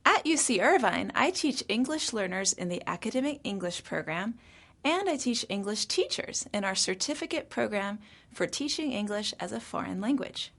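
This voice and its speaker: Woman, English teacher, self-introduction, friendly, expressive pitch. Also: moderate pace.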